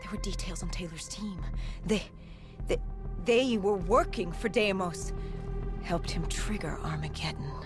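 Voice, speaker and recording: A woman playing an intense, haunted soldier in a video game, speaking in a grave, hushed and halting way. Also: on the low side, over music, close to an everyday voice. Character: haunted, intense female soldier recounting the apocalypse. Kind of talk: dramatic video game voice acting.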